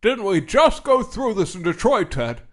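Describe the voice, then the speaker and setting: A man playing a soft-hearted, dithering American president in a comedic audio drama, hesitant and folksy, indulgent in his replies. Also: high-pitched, very animated, normal speed. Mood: doubtful.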